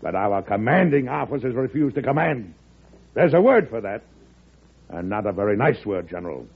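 A grave, resolute American president in wartime radio drama. The voice is mid-pitched and rough-edged, speaking with measured authority. It comes through a boxy, band-limited vintage broadcast. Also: male voice, very animated, exaggerated voice. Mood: stern, indignant. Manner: measured and grave, rising to stern indignation. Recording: muffled, narrow-band old radio broadcast.

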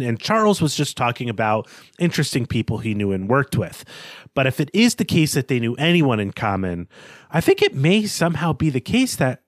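A man's voice: mid-range voice, no room sound. A man co-hosting a podcast, casually analysing a book and thinking aloud as he goes. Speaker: podcast host.

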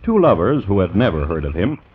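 A man narrating as a wry crime storyteller, dry and deliberate, in 1950s radio style. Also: low-pitched, sing-song, noisy background. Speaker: host and narrator. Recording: muffled vintage radio recording. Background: dramatic music.

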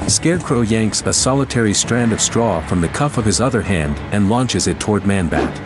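A man's voice: lowish voice, animated, normal speed, over music. A man reading scripted narration for an audio drama as its narrator.

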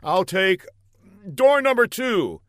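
A man voicing a loud, excitable goon in a comic audiobook, shouting his words. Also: high-pitched, slow, a loud crowd, pitched above the performer's own voice, heavily acted. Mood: frantic excitement.

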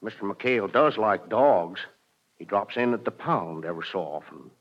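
Nervous, plaintive dog catcher. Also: male voice, lowish voice, lively intonation, normal speed. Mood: earnest, doubtful. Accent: American. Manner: nervous throat-clearing, plaintive. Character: nervous, aggrieved dog catcher, doglike and earnest. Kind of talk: 1940s radio comedy acting. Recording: dull vintage radio recording.

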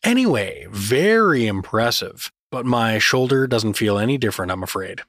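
A man's voice: medium pitch, animated, normal speed, fairly bright tone, no room sound, clean studio sound. An actor playing a hapless, sarcastic everyman hero in a comedic fantasy audio drama, joking and hesitant.

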